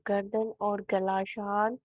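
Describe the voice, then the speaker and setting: Very slow-speaking Indian woman guiding meditation. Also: mid-range voice, little pitch movement, no echo. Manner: soothing, unhurried, with long pauses between phrases. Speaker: meditation guide. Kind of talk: guided meditation narration. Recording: thin, phone-like narrow band.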